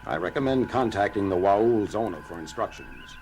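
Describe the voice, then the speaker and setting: A man's voice: fairly low pitch, lively intonation, moderate pace, slight background noise. A man playing a logical alien starship science officer, speaking formally and precisely in a science fiction audio drama. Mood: measured. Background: loud dramatic music.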